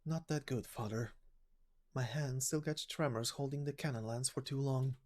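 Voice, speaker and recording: A man's voice: mid-range voice, moderate pace, darkish tone, close to an everyday voice. A man voicing an earnest, determined young female knight in a game story reading, restrained and serious. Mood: resolute. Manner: restrained, serious, plain-spoken.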